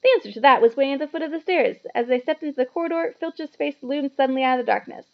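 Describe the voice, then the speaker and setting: A woman reading a story aloud to children in an animated, explanatory way, with chatty asides. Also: high-pitched, rapid-fire, vocal fry, highs cut off.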